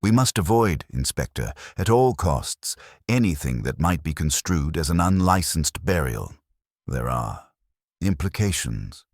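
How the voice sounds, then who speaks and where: A man acts out a self-important town clerk from a mystery story. The voice is very deep and varied in pitch, with clipped, officious phrasing and an anxious edge. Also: big pitch swings, unhurried, dry recording, clean studio sound, full character voice. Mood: grave, insistent.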